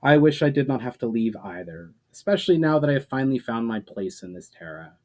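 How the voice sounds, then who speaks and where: A man's voice: medium pitch, lively intonation, a little fast, a little mellow, natural voice. Man playing an earnest, resolute fantasy hero. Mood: sad, resolute. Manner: tender, solemn. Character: earnest, tender male fantasy hero, sad but resolute.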